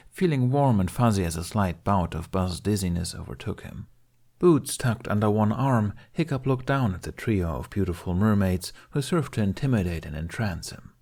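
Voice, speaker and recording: A man's voice: fairly low pitch, darkish tone. A man reading scripted fantasy fiction as an audiobook narrator.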